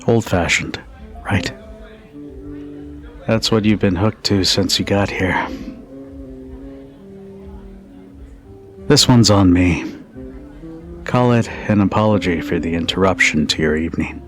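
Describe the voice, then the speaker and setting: A man playing a protective, teasing, dominant bartender in an intimate ASMR roleplay, speaking in a deliberate, commanding yet caring way. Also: over music. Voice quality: low husky voice.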